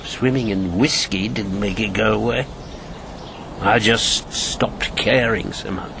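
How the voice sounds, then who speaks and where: An actor playing a bitter, haunted older former secret agent in a radio drama, wry and brooding. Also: male voice, lowish voice, slow, vocal fry, background noise. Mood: haunted, reflective.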